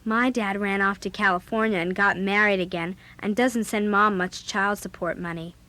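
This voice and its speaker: A woman's voice: medium pitch, steady tone, normal speed, bright, forceful tone, close to an everyday voice. A young female actor playing a spirited, outspoken girl babysitter in an audio drama, narrating and speaking with youthful emphasis. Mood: earnest. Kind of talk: first-person audio drama narration and dialogue.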